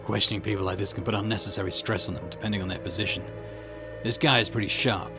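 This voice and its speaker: A man playing a calm, politely persistent police detective in a visual novel, measured and courteous as he questions. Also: on the low side, music in the background, lightly acted. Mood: measured, wary. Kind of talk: acted visual novel dialogue.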